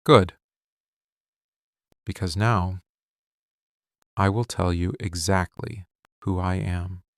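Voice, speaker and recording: A man playing a possessive, brooding mafia boss lover in a scripted boyfriend ASMR roleplay, menacing and commanding, with deliberate dramatic pauses. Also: sits low, steady tone, no room sound, clean studio sound. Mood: menacing, controlled.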